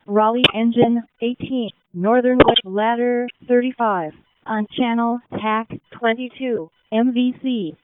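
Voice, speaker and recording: Female dispatcher on tinny two-way radio, slow n formulaic, repeats stuff. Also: a little high, slight background noise. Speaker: emergency dispatcher. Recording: phone-like narrow-band emergency radio.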